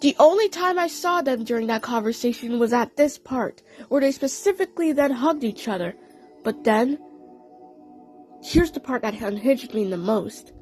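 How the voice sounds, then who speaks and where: A woman's voice: on the high side, expressive, normal speed, darkish tone, soft background music. A woman narrating a story dramatically in the first person, as in an audio play. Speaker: narrator and voice actor.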